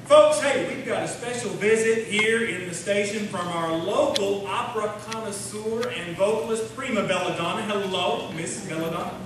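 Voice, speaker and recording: A man's voice: high-pitched, normal speed, hardly any pauses, lightly acted. A man playing a folksy, bumbling small-town radio announcer hosting a comic live show. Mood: upbeat. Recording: echoey noisy hall, distant microphone.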